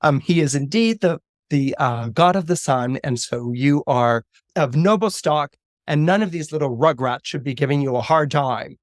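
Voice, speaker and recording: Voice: medium pitch, moderate pace, no room sound, lightly acted. Casting: casual, soothing mother acted by a narrator, doting but hesitant, in an everyday voice for comic storytelling, dry close recording. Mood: reassuring. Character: breezy, doting mother, offhand and gently reassuring.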